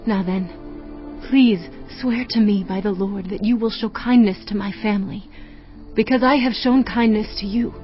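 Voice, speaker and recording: A woman's voice: mid-range voice, steady tone, moderate pace, natural voice. An actress playing a fearful but resolute woman of ancient Jericho in a dramatized audio Bible, earnest and dramatic. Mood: earnest pleading. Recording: slightly dull audio over music bed. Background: faint music.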